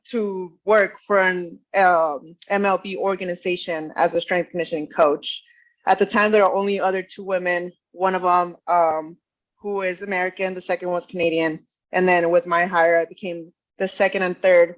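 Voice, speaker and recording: A woman's voice: fairly low pitch, dull audio. A woman raised in Mexico, a strength coach, talking easily about her career and joking. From Mexico, Ciudad Juarez. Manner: easygoing, joking.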